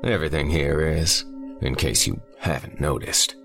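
A man's voice: rumbling low, moderate pace, fairly bright tone, soft background music. A man voicing a sardonic, brainy male tech colleague in an audiobook story, wry, emphatic and conversational. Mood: wry, wistful.

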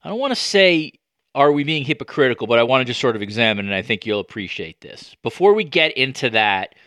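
A man hosting a podcast interview in a conversational way, deliberately working around to his questions with careful qualifications. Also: medium pitch, bright, forceful tone, no room sound, clean studio sound. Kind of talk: conversational sports media interview.

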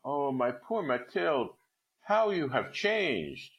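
A man's voice: medium pitch, big pitch swings, moderate pace, fairly bright tone, highs cut off, pitched above the performer's own voice. A man voicing a well-off young woman, stammering and incredulous, in a read-aloud story. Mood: shocked. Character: wealthy young woman, shocked and pitying.

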